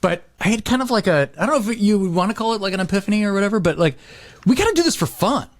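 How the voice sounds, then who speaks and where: A man's voice: sits high, expressive, rapid-fire. A middle-aged man hosting a podcast in a casual, slangy, chatty way. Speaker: podcast host.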